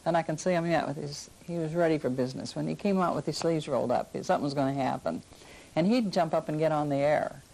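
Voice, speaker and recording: Older female interviewee, deep gravelly mellow voice, casually sharing memories. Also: fast talker, rough recording. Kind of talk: casual reminiscing.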